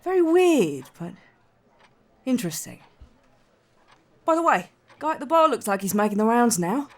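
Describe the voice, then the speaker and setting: A woman's voice: fairly high pitch, big pitch swings, moderate pace. A woman playing a sceptical, down-to-earth blacksmith in a fantasy audio drama, dry and blunt. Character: sceptical, wry female blacksmith, down-to-earth tavern patron.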